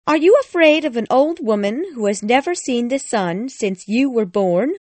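A man voicing an eerie, proud and bitter old jilted bride in a high, strained falsetto, slow and haunting. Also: animated, darkish tone, no room sound. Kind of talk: audiobook reading.

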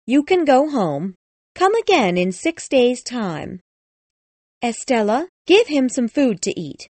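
A man voicing an eerie, proud and bitter old jilted bride in a high, strained falsetto, slow and haunting. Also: sing-song, no echo, heavily acted. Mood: commanding. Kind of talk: audiobook reading.

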